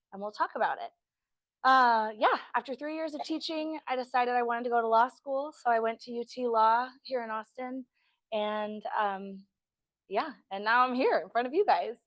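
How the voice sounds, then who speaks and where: Slightly hoarse woman attorney presenting. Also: a little high, no echo. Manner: friendly, self-deprecating, chatty with fillers. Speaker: estate planning attorney. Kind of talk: educational presentation to a group. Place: meeting room.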